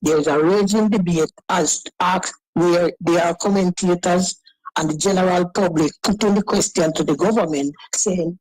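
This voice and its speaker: A man's voice: high voice, a little mellow, dry recording, rough recording. A man calling in to a talk show, defending his point with lots of repeats and stumbling restarts. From Jamaica. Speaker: caller. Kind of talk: phone-in debate.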